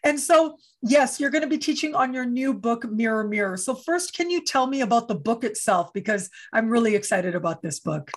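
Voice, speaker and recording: A woman's voice: a little high, lively intonation, brisk, hardly any pauses. A woman hosting an online interview show, welcoming her guest in an effusive, enthusiastic way. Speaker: host.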